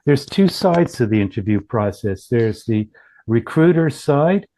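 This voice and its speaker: A man's voice: mid-range voice, lively intonation, measured pace, mellow. A man giving an informal product presentation as a company founder, explaining things with frequent self-corrections.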